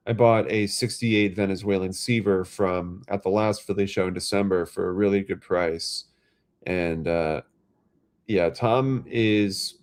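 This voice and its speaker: A man's voice: low-pitched, a little mellow. A man hosts a casual livestream. He sounds stuffed up and nasal from a head cold. He rambles and restarts his sentences, with plenty of ums and uhs. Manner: rambling, halting, many fillers and restarts. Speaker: livestream host.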